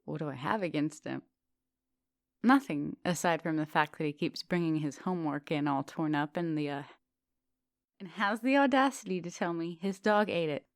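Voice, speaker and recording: A woman acting as an ancient female vampire professor in an intimate ASMR roleplay. Her voice is very low with a gravelly fry, recorded close and dry. She is composed and formal in her wording, yet teasing and gently commanding, slipping at times toward a whisper. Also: normal speed, no echo. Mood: mildly exasperated. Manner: teasing, formal wording, soft dominant, often dropping into whispers.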